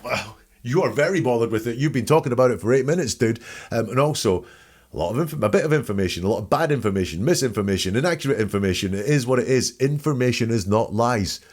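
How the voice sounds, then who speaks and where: A man's voice: medium pitch, animated, quick pace, darkish tone. A man giving informal, blunt and opinionated commentary as he hosts an analysis of a recording. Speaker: host analysing a recorded call.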